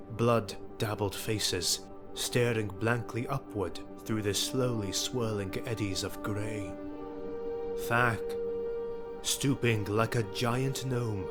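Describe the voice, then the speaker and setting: A man narrating a fantasy adventure drama in a grave, deliberate, dramatic manner. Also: lowish voice. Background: music.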